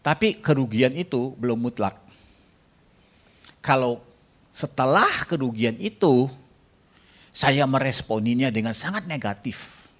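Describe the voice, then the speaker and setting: A man's voice: mid-range voice, lively intonation, unhurried, pauses often. Guy preaching, cracks jokes, asks the crowd to raise hands, drops english lines in. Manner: jokey, banters with the congregation and asks them to raise hands; mixes in English phrases. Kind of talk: sermon.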